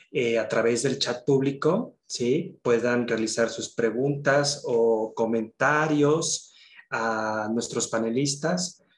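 A man in his thirties moderating an online conference session over a headset, hesitating often with "eh" and "este". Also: mid-range voice. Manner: hesitant, many "eh" and "este" fillers. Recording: roomy video call audio.

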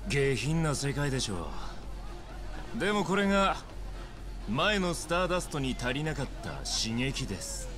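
An actor playing a smug, suave young male gangster boss in a video game cutscene, with smooth, polite, theatrical speech. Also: on the high side, very animated, moderate pace, music in the background. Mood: smug, persuasive. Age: young adult.

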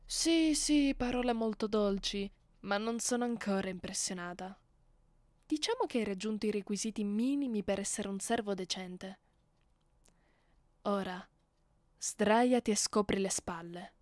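A woman playing a haughty, seductive vampire mistress in an ASMR roleplay, speaking softly and condescendingly, close to the microphone, with an Italian accent. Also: mid-range voice, dry recording, lightly acted. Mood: haughty, teasing. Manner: slow, condescending, teasing, often dropping into whispers. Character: haughty, seductive female vampire mistress, commanding her captive. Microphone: close studio microphone.

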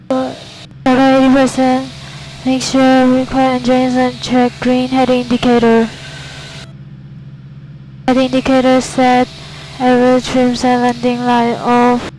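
A woman's voice: high voice, slow pace, pauses often, soft background music, poor audio. A young woman, a student pilot, talking casually to herself and reciting checklists as she flies. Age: young adult. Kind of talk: casual self-talk while flying, reciting checklists. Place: small airplane cockpit in flight. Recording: steady cockpit engine noise.

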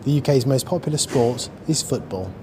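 A man, listing facts briskly outdoors. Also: mid-range voice, lively intonation, a little mellow. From United Kingdom. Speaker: street interviewee. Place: city street outdoors. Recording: outdoor street noise.

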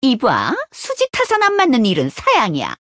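A woman's voice: fairly high pitch, huge pitch swings, normal speed, fairly bright tone, no echo, studio quality. A woman voicing a brash, money-hungry young female mercenary leader in a game, with cocky, theatrical taunts. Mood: cool, businesslike. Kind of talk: Korean mobile game character voice lines.

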